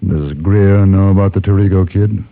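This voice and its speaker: An actor playing a calm, probing frontier gentleman who is hero and narrator of a Western radio drama, measured and understated in his questioning. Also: low voice. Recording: dull old radio recording with music.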